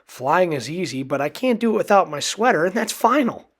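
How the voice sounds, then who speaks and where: A man's voice: high-pitched, very animated, brisk, fairly bright tone, voice pushed up, full character voice. A man voicing a plucky, crafty child in a storybook, speaking emphatically and persuasively. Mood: insistent.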